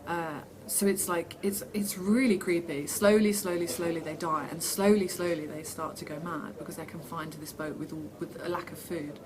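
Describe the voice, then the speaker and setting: A woman's voice: lowish voice, normal speed, hardly any pauses, a crowd in the background. A woman actress giving casual, chatty interview answers in a self-deprecating way, reading the questions out herself. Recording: steady background noise.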